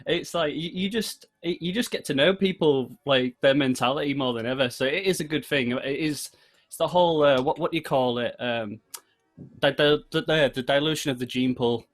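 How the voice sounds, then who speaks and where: British male podcast host, mid-pitched voice, rambling and jokey in loose, casual conversation. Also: normal speed. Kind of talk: casual chat.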